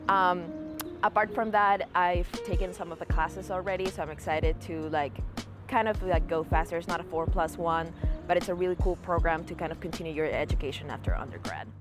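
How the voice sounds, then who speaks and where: A young woman from Peru talks about her studies in an earnest, easygoing way, leaning on "um" and "like" as she thinks. Her voice sits on the lower side for a woman. Music plays clearly behind her. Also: fairly quick. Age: 20s. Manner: earnest, frequent fillers like "um" and "like". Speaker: student interviewee. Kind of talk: casual interview answers.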